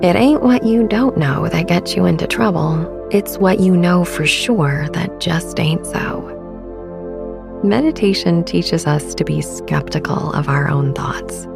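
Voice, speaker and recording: Woman, lowish creaky voice, gentle meditation narration, breathy and unhurried, faint music underneath. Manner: soothing, gentle, unhurried delivery. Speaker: podcast host. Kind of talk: guided sleep meditation narration.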